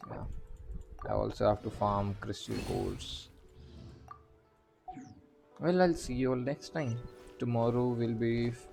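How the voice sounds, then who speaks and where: Slow, halting man commenting on gameplay. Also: medium pitch, vocal fry, darkish tone. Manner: murmuring, halting, thinking aloud. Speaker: video game streamer. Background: faint game music.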